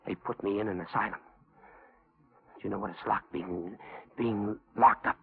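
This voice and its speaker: An actor playing a calm, brooding escaped killer with quiet menace in a 1940s radio thriller. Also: male voice, fairly low pitch, moderate pace, creaky, slightly rough. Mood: cold, matter-of-fact. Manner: quietly menacing, matter-of-fact. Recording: tinny 1940s radio recording.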